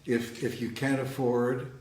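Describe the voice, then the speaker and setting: An older man co-hosting a product show, talking in a casual, halting way with frequent repeats. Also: mid-range voice, little pitch movement, darkish tone. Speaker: co-host, husband of the host. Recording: echoey, mic at a distance.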